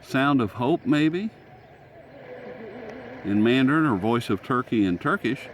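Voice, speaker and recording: A man's voice: mid-range voice, lively intonation, a little slow. A man giving casual, unscripted commentary as a radio hobbyist, musing and guessing aloud as he goes. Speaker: shortwave radio hobbyist narrating a band scan. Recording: noisy, radio static behind. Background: shortwave radio static and stations.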